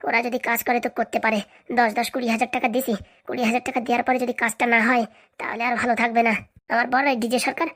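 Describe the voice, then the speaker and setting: High-pitched, rapid-fire domineering cartoon wife, acted by a woman. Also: a bit bright. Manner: scolding, threatening, boastful. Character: domineering, scheming, foul-mouthed middle-aged wife. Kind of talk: comic cartoon voice acting.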